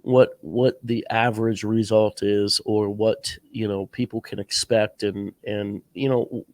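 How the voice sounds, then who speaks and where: A man's voice: on the low side, level tone, a little slow, a little mellow, no room sound. Stuffed-up man with a sore throat. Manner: earnest, coaching, advice-giving. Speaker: podcast host. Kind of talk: casual interview chat.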